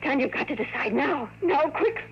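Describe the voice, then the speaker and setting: A woman's voice: sits high, animated, normal speed. An actress playing an earnest, insistent young woman from the future in a 1950s radio drama. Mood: pleading, insistent. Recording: muffled, faintly noisy old radio recording.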